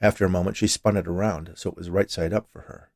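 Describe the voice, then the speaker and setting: A man reading his own short story as scripted narration, with an expressive, theatrical delivery. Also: deep voice, fast, no room sound, clean studio sound.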